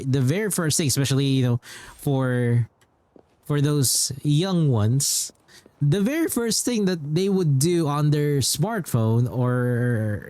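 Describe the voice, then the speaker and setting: A man's voice: fairly high pitch, darkish tone, no room sound. A man livestreaming a game, chatting casually and rambling as he repeats himself.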